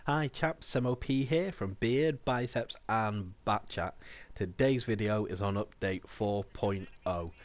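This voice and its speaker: A man with a bad cold, slightly nasal, hosting a casual gaming channel update in a chatty, relaxed way. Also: fairly low pitch, a little slow. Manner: chatty and relaxed, with small laughs and restarts.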